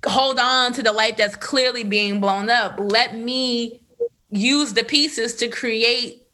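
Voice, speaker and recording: A woman in her thirties, a podcast host, telling a candid personal story in a loose, animated way with lots of fillers. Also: a little high, takes it slowly. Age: 30s. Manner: animated, filler-heavy, laughs often. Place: Los Angeles area.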